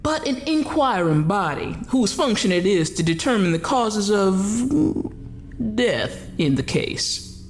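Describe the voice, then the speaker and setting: An actress playing a stern, dry-witted coroner who runs an inquest in a formal, measured radio drama. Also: female voice, medium pitch, sing-song, faint music behind. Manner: formal, measured, dryly sardonic.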